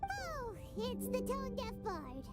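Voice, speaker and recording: A woman voicing a cheeky, outspoken little childlike girl companion in a video game, blunt and excitable, over music. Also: very high voice, slow, heavily acted. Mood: unimpressed. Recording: loud music behind the voice.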